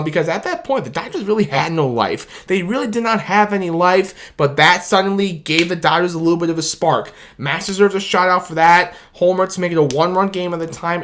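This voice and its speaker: A man hosting a sports video, talking in an excited, casual way with frequent laughs and shouts of "baby" and "man", his voice a little worn from cheering. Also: on the high side.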